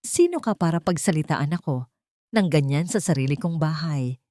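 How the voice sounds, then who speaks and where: A woman's voice: low voice, animated, normal speed, warm tone, dry recording, studio quality. A woman voicing a haughty, furious old rich matriarch in a drama story, imperious and commanding. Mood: indignant. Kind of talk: acted story dialogue.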